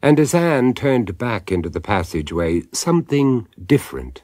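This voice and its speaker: An actor playing the measured, dramatic narrator of a radio horror drama. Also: male voice, mid-range voice, very animated, darkish tone, dry recording, studio quality, lightly acted. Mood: measured, suspenseful. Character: measured storyteller of a supernatural tale.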